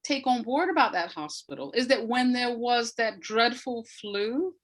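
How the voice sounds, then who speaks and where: A woman's voice: a little high, expressive, measured pace. Thoughtful woman explaining as an interview guest. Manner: thoughtful, searching for words, emphasising key points. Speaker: interview guest, audio drama writer. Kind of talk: conversational explanatory interview talk.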